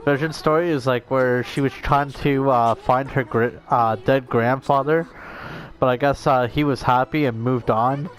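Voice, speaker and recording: A man's voice: medium pitch, fairly even intonation, unhurried. A young man giving casual, rambling game commentary as a streamer, swearing freely and talking in slang. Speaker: gaming streamer.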